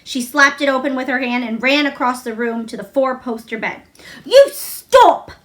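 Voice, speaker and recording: A woman narrates a children's story aloud. Her voice is high and bright. She reads scripted prose expressively, as a storybook reader. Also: steady tone, fairly quick.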